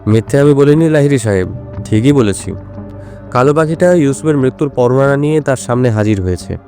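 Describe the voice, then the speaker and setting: A male actor playing a shrewd, self-assured detective in an audio drama, speaking in a measured, explanatory way. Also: medium pitch, expressive, warm tone, faint music behind, natural voice. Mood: measured, deductive. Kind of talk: Bengali audio drama dialogue.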